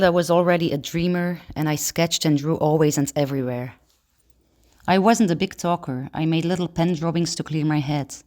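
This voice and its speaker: A woman from Belgium, a painter calmly reading a personal statement about her work. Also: deep voice.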